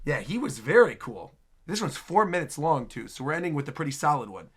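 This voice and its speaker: A man giving casual reaction commentary as a video host, excitable and repeating words for emphasis. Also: a little high, a little fast, slight background noise.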